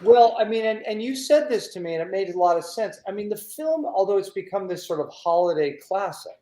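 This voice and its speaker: A man's voice: high voice, animated, a little fast, dark tone. A man hosting a conversational interview in an effusive way, often repeating his phrases as he goes. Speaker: host. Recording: echoey room sound.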